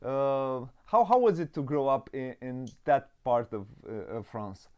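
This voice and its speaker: A man hosting a board game interview show, cheerful and hesitant, pausing often on fillers. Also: medium pitch, highs cut off, a crowd in the background. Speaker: podcast host. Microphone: video call.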